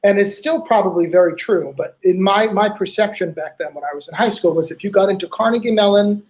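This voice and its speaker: A man's voice: high voice, fairly quick, darkish tone, low-quality recording. A man hosting an interview, chatty and teasing, telling stories about himself between questions. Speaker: host. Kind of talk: casual interview chat.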